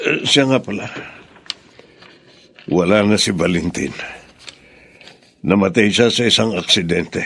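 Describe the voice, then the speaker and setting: A man playing a grave, tender middle-aged father full of remorse in a Filipino radio drama. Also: heavily acted. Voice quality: deep, gravelly.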